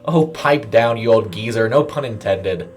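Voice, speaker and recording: A man's voice: fairly low pitch, moderate pace, soft background music, lightly acted. A man voicing a brash, playful woman worker in her early thirties, slangy and teasing, in an audio story.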